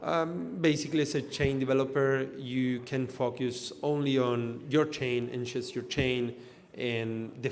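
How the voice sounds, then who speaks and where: A man's voice: mid-range voice, creaky, slight background noise. A man from Argentina giving a technical conference talk as an engineer, explaining loosely with lots of fillers and restarts. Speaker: conference speaker, blockchain engineer. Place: event hall in front of an audience. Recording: echoey hall.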